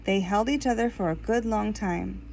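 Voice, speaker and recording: Female storybook reader: expressive read-aloud delivery, mid pitch, creaky texture, lingers on key words. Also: moderate pace, a little hiss or hum. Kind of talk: expressive read-aloud narration of a children's book, with casual asides.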